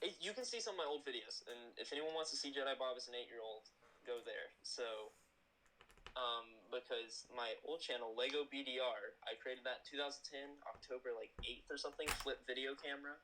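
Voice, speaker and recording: Teen guy rambling excitedly on a live chat, bright voice, goes off on tangents. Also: medium pitch, a little fast, slight background noise, rough recording. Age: late teens. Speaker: guest; animator. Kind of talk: casual chat.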